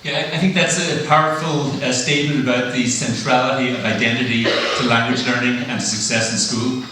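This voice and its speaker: A man giving a conference lecture, speaking thoughtfully and pausing often with uhs and ums. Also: mid-range voice, fairly even intonation, distant mic, background noise. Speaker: plenary speaker. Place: large lecture hall. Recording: echoey hall, mic heard from afar.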